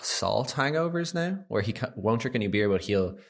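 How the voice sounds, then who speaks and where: A man's voice: lowish voice, lively intonation, normal speed, creaky. A man, co-hosting a podcast in chatty, filler-heavy talk. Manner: chatty, many fillers, bursts of laughter. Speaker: podcast co-host. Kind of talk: casual chat.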